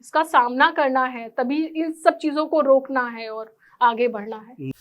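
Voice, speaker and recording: High-pitched woman, interview guest. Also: measured pace. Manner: earnest, mixes English words into speech. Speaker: interview guest, architect and NGO volunteer. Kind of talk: formal interview answers.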